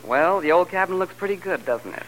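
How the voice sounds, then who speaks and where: An actor playing a jealous, sarcastic husband in a 1940s radio comedy, with a wry, emphatic delivery. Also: male voice, high-pitched, animated, brisk, fairly bright tone, soft background music, low-quality recording. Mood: awkward, hesitant.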